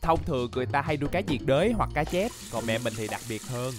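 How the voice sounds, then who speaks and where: A man voicing an earnest, easily flustered young boy hero in a cartoon dub, expressive and chatty. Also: mid-range voice, fast talker, music in the background, lightly acted. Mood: friendly. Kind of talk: Vietnamese cartoon dubbing.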